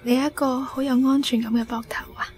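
A woman acting a frail, soft-hearted young woman who hides her love in a radio drama, with a Hong Kong Cantonese accent. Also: sits high, flat delivery, fast, husky. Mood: tender, faint.

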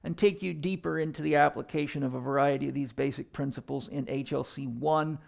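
A man in his fifties teaching as a fitness coach, explaining in a deliberate, emphatic way with pauses. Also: mid-range voice, fairly even intonation, vocal fry, a little mellow. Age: 50s. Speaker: fitness coach and educator giving a lecture. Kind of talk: explanatory lecture to camera. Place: indoor room in front of a chalkboard.

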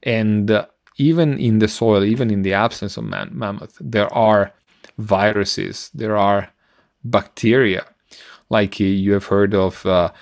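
A middle-aged man explaining science informally as a podcast co-host, halting as he searches for words. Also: lowish voice, fairly even intonation, creaky, no room sound, clean studio sound.

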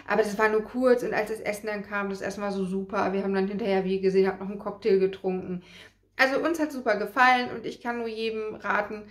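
A woman's voice: mid-range voice, steady tone, fast. Chatty woman in an echoey room. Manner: chatty, with frequent fillers. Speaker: vlogger. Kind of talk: casual to-camera chat. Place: small room at home with framed photos on the wall. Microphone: camera in front of her at desk distance.

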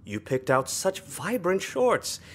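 A woman's voice: on the low side, very animated, slow, exaggerated voice. A woman voicing a creepy, smarmy forty-year-old male school counselor in an oily, formal, insinuating manner. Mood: leering. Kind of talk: visual novel voice acting.

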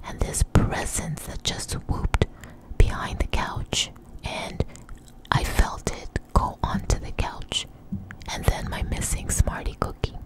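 Woman whispering ASMR close to the mic. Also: low-quality recording. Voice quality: breathy unvoiced whisper. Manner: slow, hushed, halting delivery. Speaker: ASMR storyteller. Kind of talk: ASMR close whisper, rambling personal storytelling. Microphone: close microphone.

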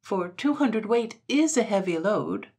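A woman's voice: medium pitch, animated, measured pace, a little mellow, breathy edge, pitched above the performer's own voice. A woman voicing a humble, deferential male shopkeeper in a storybook, speaking politely. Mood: polite doubt. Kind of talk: storybook character voice.

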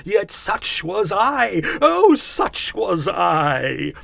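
A man voicing a tormented, mournful ghost in a storybook reading, with slow, drawn-out declamation. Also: fairly low pitch, lively intonation, husky, no room sound, heavily acted. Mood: anguished, wailing. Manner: slow, drawn-out, declamatory delivery with wailing cries. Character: tormented male ghost, mournful and solemn warning spirit.

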